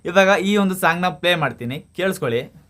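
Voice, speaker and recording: A man's voice: high voice, expressive, a little fast, a bit bright. A man hosting a casual how-to video, addressing viewers directly and often checking that they follow. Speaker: video tutorial host.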